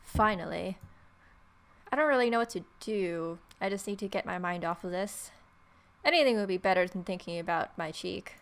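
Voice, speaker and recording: A woman's voice: lowish voice, moderate pace, vocal fry, slight background noise. A woman hosting a let's play, reading a visual novel aloud and adding wry, playful asides. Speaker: gaming video host. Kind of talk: let's play, reading protagonist's lines and ad-libbing.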